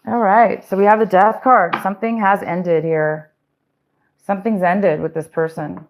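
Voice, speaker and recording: A woman's voice: mid-range voice, steady tone, normal speed, a little hiss or hum. A woman giving a casual, rambling tarot reading, talking straight to her viewers with fillers and restarts, and saying she is losing her voice. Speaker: tarot reader.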